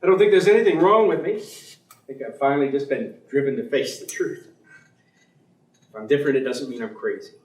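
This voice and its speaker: A man's voice: medium pitch, fairly quick, long pauses, a little mellow, natural voice. An actor playing a weary, bitter male professor in a staged play reading, curt, dry and precise in manner. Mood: guarded, dismissive. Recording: echoey stage room, distant microphone.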